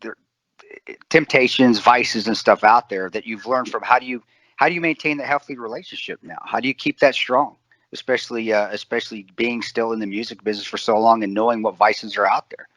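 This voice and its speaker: A man's voice: mid-range voice, steady tone, fairly quick, fairly bright tone, no room sound. A man in his forties hosting a podcast, talking in a chummy way and asking long, rambling questions. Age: 40s. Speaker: podcast host. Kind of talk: casual interview talk. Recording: dry, dull lo-fi podcast audio.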